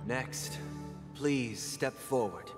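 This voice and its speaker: An actor playing a solemn, composed religious leader in a video game, speaking in a measured, formal, reverent way. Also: male voice, mid-range voice. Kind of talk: dramatic video game voice acting. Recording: long hall reverb with music.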